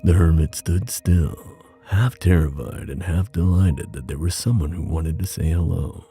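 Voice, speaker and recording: Male ASMR boyfriend storyteller: very low, gravelly, unhurried, intimate and soothing, soft music underneath. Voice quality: deep. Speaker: ASMR boyfriend roleplay performer.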